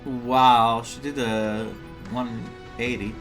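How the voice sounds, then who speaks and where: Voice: medium pitch, flat delivery, slow pace, fairly bright tone, faint music behind. A game streamer giving casual, musing reactions in murmured asides while playing through a story.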